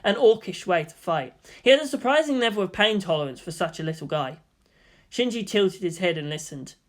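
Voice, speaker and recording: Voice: mid-range voice, animated, a little fast. A narrator reads fiction aloud in a mid-range voice. The delivery is scripted and dramatic, in audiobook style.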